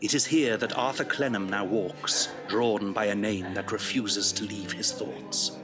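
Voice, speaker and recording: A man narrating an audio drama in a solemn, measured storytelling style. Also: on the low side, steady tone, over music.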